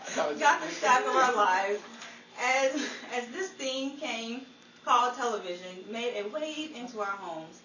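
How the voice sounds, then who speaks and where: A woman's voice: a little high, animated, measured pace, pushed and bright. A woman reading scripted narration in the manner of a retrospective radio programme. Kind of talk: scripted documentary-style narration. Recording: echoey room, distant mic, faint noise.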